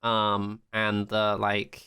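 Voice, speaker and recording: A man with a bright, clean voice chats casually as a podcast co-host. He is opinionated and animated, swearing freely as he makes his points. His tone is clear and forward. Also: lowish voice, drawn-out words. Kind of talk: casual pop-culture discussion.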